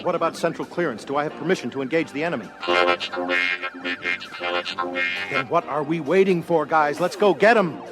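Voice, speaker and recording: A man's voice: fairly high pitch, fast, close to an everyday voice. An actor playing a bold, cocky male missile base captain with a swaggering, commanding manner in an audio adventure. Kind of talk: children's audio adventure acting. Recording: music bed under voice. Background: music.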